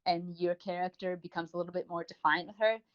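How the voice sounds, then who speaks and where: A woman's voice: lowish voice, fairly even intonation, a little fast. A woman co-hosting a podcast, giving a rambling, enthusiastic review in a casual, chatty style. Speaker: podcast co-host. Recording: dull lo-fi voice recording.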